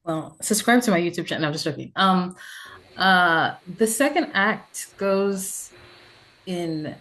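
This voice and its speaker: A woman's voice: lowish voice, animated, unhurried, fairly bright tone. A woman screenwriter talking informally about her own work in a Q&A.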